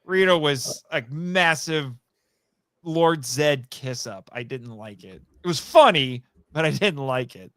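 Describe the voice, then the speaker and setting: A man with a slightly high, crisp and clear voice talks casually in a very dry-sounding recording. He is an animated guest who interrupts himself and works out his thoughts aloud as he goes. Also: slow, dry recording. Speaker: guest, audio drama writer and voice actor. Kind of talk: casual fan chat.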